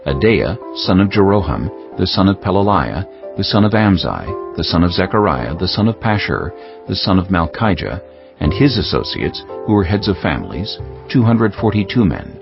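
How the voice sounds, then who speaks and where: A man giving a measured, solemn scripted reading of scripture as an audiobook narrator. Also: deep voice, sing-song, gravelly. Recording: dull lo-fi audio with music behind. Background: prominent music bed.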